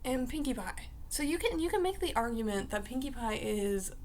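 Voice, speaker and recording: A young woman vlogging in a casual, rambling way, her voice raspy because she just woke up. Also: fairly high pitch, expressive, moderate pace, darkish tone, a little hiss or hum. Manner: rambling, chatty, full of fillers like "like" and "uh". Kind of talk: casual talk to camera.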